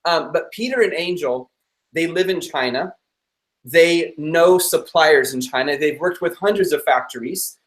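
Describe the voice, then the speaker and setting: Man, enthusiastic live-stream host, slightly higher voice, roomy echo. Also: measured pace. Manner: eager, chatty, laughs easily. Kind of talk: upbeat live-stream interview. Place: his own office room.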